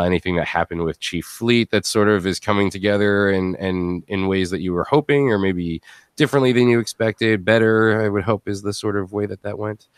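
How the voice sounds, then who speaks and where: A man's voice: low-pitched, no echo, studio quality. A man hosting a casual panel chat, telling rambling, jokey stories. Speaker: podcast host.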